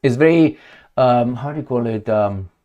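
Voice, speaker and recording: Middle-aged male, average pitch. Solo commentator, emphatic and loose, wandering into asides. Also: normal speed, warm tone. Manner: rambling, emphatic, with trailing asides. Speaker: video commentator. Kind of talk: off-the-cuff political commentary to camera. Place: small home office, indoors.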